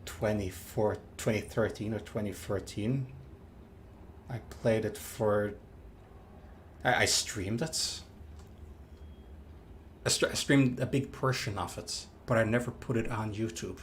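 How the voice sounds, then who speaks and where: Young man, low-ish voice, hesitant live-stream chatter. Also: slight background noise. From Norway. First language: Norwegian. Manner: halting, repeats words, chatty with viewers. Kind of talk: live gameplay commentary.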